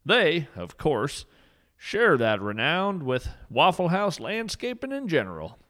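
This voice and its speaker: A man's voice: a little high, wildly swooping pitch, a little slow, a bit bright. A man hosting and narrating a whimsical scripted nature podcast with an earnest, poetic, mock-solemn delivery.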